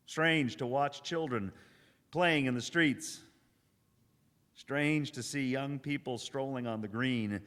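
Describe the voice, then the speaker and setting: A man's voice: mid-range voice, fairly bright tone, natural voice. Man acting solemn invasion survivor, echoing hall. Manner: solemn, theatrical, deliberate phrasing with suspenseful repetition. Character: haunted male professor, survivor of an alien invasion. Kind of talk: dramatic radio drama monologue, live performance. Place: live performance in a church hall. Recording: echoey hall, live stage recording.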